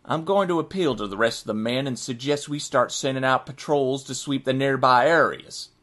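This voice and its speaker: A man's voice: medium pitch, normal speed, a bit bright, lightly acted. A man playing an exasperated nineteenth-century army sergeant in an audio drama, reading out his written account in formal, precise, scornful language. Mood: scornful, determined. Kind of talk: dramatic first-person journal narration, audio drama.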